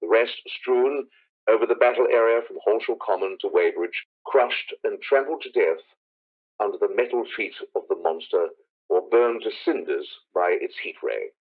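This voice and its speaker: A man's voice: lowish voice, expressive. Tinny, grave radio newsman played by a man. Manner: solemn, measured, dramatic. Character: grave radio news announcer reporting a catastrophic invasion. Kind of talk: 1930s radio news bulletin acting. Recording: tinny narrow-band old radio sound.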